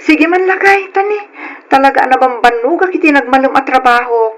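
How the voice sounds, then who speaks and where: A woman performs a sweet-natured young wife in an old radio serial, pitched very high. She is coy and affectionate, with a giggly, teasing way of speaking. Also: moderate pace, lightly acted. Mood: coy, anxious. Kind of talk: old radio drama acting.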